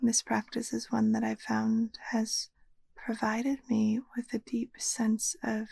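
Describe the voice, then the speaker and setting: A woman guiding a meditation as a yoga nidra teacher, speaking in a soft, soothing, breathy near-whisper. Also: fairly high pitch, completely flat delivery, slow pace, no room sound. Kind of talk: guided meditation narration.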